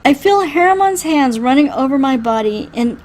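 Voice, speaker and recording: A woman reading a first-person story aloud in an expressive, dramatic way. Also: high voice, moderate pace, a little hiss or hum. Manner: expressive, acts out the dialogue. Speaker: reader playing through a visual novel.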